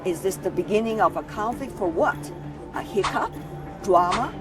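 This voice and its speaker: Woman narrating news over clear music. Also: medium pitch, lively intonation, moderate pace, distant mic. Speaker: news host.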